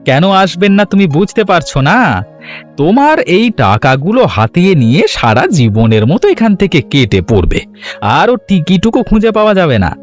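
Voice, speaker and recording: A man's voice: high-pitched, big pitch swings, a little fast, faint music behind. A man voicing a bossy, protective village uncle in a cartoon story, with an emphatic, commanding manner. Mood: stern, threatening. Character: bossy village uncle, protective, blunt with wrongdoers, generous to kin.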